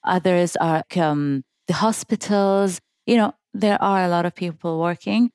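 A woman's voice: lowish voice, unhurried, a little mellow, smooth, no echo, clean studio sound. A woman, a guest mental health advocate speaking earnestly and cheerfully in a casual interview. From Kuwait.

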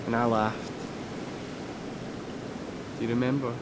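A male actor plays an earnest man pleading with an estranged partner. He speaks at a middle pitch in halting, repetitive, confessional phrases peppered with swearing. A very loud wash of surf-like rumble runs behind this audio drama. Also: flat delivery, lightly acted. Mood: earnest, reflective. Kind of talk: naturalistic audio drama acting.

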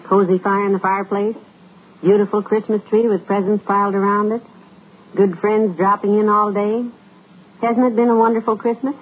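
An actress playing an affectionate, wry middle-aged wife in an old radio comedy, who answers with warm teasing. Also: female voice, medium pitch, little pitch movement, normal speed, breathy edge, close to an everyday voice. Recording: tinny old radio recording with faint hiss.

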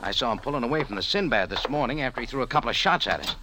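An actor playing a wry, tough American café owner and sleuth in a hard-boiled 1940s radio drama style. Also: male voice, medium pitch, expressive, very fast, noisy background, close to an everyday voice. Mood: guarded, sardonic. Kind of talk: 1940s radio acting, first-person narration and dialogue. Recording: vintage radio recording.

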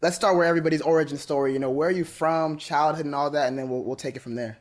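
A man hosting a casual interview show, easygoing and quick to react. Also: fairly high pitch, fairly even intonation. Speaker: podcast host.

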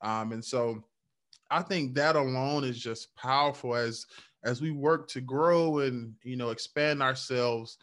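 A man's voice: mid-range voice, unhurried, fairly bright tone. A man co-hosting a podcast, speaking reflectively in casual chat and often checking in with his listener. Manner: reflective, many "um"s and "right?" check-ins. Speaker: podcast co-host.

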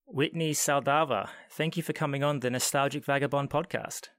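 A man's voice: medium pitch, steady tone, a little fast, fairly bright tone, dry recording, clean studio sound. A man hosting a travel podcast, reading an upbeat scripted intro and then chatting with his guest from home during lockdown. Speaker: podcast host.

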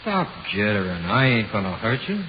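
Muffled, hissy forties radio horror. a dead convict's ghost with a low voice and tough gangster slang. He is laconic, taunting and coldly persuasive, his pitch moving freely. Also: very animated, moderate pace. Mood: casually needling. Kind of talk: 1940s radio horror acting.

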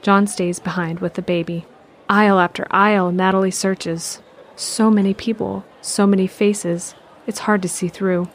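A woman narrating an audio drama in a calm, measured, descriptive way. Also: medium pitch, a little hiss or hum. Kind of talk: scripted narration.

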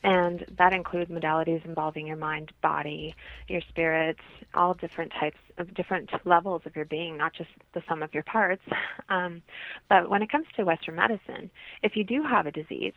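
A woman from Canada with a creaky, slightly low voice gives explanatory answers as a health practitioner being interviewed. The recording is a little dull and lo-fi, like modest radio interview audio. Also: steady tone, moderate pace, no room sound.

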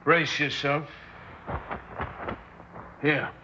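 An actor playing a sober, matter-of-fact officer in a 1960s radio drama. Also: male voice, a little high, level tone, very slow, sharp tone, a little hiss or hum, exaggerated voice. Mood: somber, official. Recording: dull vintage 1960s radio broadcast.

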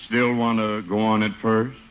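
An actor playing a genial, easygoing police chief among club pals in a vintage radio sitcom. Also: male voice, on the low side, flat delivery, moderate pace, heavy background noise, lightly acted. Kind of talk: 1940s-50s radio sitcom acting. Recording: muffled old radio broadcast.

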